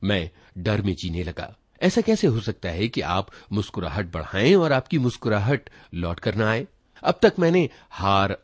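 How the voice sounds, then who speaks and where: A man's voice: low-pitched, husky, dry recording. A man reading a literary short story aloud in a dramatic, reflective first-person manner. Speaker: story reader.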